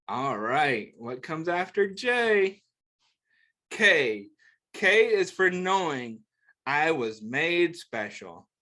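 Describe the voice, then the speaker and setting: Slow-paced man reading to young children. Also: fairly high pitch, sharp tone. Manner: simple, encouraging, instructive phrasing aimed at young children. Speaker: children's story time reader and host. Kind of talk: reading a picture book aloud to children, with chatty asides and questions.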